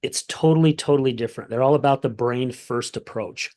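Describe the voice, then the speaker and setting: A man hosting a podcast, with a voice of middle pitch. He talks in a friendly, earnest way, reading a sponsor message and welcoming his guest. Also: a little fast, mellow. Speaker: podcast host.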